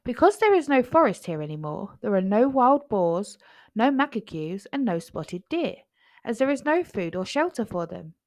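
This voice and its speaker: A patient mother tiger, voiced by a woman reading a storybook. She instructs her young cubs in an expressive, animated voice, with a close, dry sound. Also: a little high, moderate pace, dry recording. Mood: explaining. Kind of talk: children's storybook character voice.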